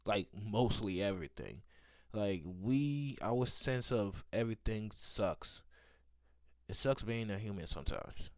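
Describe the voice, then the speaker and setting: A man's voice: fairly low pitch, expressive, a little slow, darkish tone, dry recording, clean studio sound. A man giving casual, slangy live reactions as a video host, with short interjections and asides.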